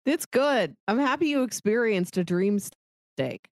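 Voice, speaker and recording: A woman's voice: on the high side, very animated, unhurried, no room sound, studio quality. A woman co-hosting a podcast, talking casually in a review chat. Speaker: podcast co-host.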